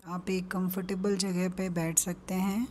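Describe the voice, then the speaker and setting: A woman with an Indian accent leading a guided meditation, giving calm, gentle instructions with long pauses between them. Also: on the low side, slight background noise.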